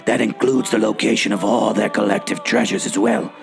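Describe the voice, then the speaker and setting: A man's voice: lowish voice, little pitch movement, fairly quick, vocal fry, soft background music. A man playing a smug, impatient villain boss in a comic adventure radio play, speaking formally and with wry menace. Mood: smug, threatening.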